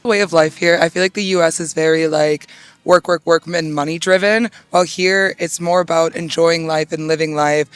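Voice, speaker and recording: A man from the United States talks fluently in a smooth, clear voice with little melody. The sound is dry and close. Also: a little high, no echo. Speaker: interviewee.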